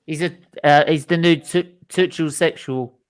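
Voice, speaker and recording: Man, slightly high pitch, watchalong host, casual banter, jokey, chuckling. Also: fairly even intonation, measured pace. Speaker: football watchalong host, Arsenal fan.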